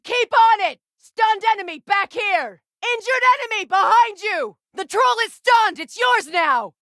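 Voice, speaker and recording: A woman's voice: very high voice, pushed and bright, no echo, exaggerated voice. An actress playing a fierce, commanding warrior woman archer, delivering combat callouts for a video game. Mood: fierce, urgent. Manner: commanding, urgent battle callouts with dry asides.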